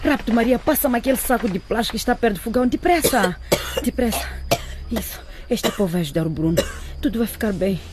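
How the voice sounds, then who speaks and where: A woman's voice: fairly high pitch, fast talker, background noise, natural voice. A woman acting as an anxious, stubborn, poor mother in a radio drama, with an urgent, bossy manner. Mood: panicked urgency.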